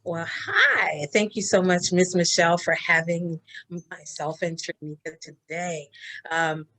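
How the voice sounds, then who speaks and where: A woman's voice: fairly low pitch, lively intonation, unhurried, pushed and bright, dry recording. A woman from Barbados introducing herself as a guest in an interview, friendly and rambling with many fillers. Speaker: guest, minister and business owner.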